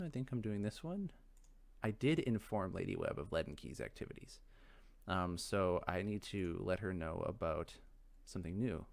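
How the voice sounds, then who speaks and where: A man with a lowish voice hosts a casual let's play. He muses out loud and talks to himself, wandering from one thought to the next in loose game commentary. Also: creaky. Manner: rambling, musing, talks to himself.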